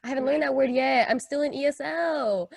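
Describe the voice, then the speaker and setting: A woman's voice: sits high, a little fast, vocal fry, no echo, clean studio sound. A young woman from Kenya, a podcast co-host telling personal stories in casual, slangy, jokey talk. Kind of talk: casual chat, personal storytelling.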